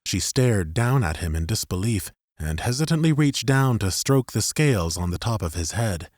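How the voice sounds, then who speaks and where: Man, low pitch, audiobook narrator, scripted fiction storytelling, dry close studio recording. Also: animated, normal speed, a little mellow, no echo.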